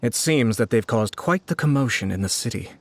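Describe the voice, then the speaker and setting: A man playing a courteous, composed and formal gentleman in an anime video game. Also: medium pitch, expressive, fairly quick, lightly acted.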